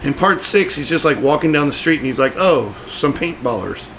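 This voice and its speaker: A man's voice: fairly high pitch, lively intonation, brisk, fairly bright tone, slight background noise, poor audio. A man hosting a podcast, chatting casually about horror movies with a friend, rambling with frequent restarts. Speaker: podcast host.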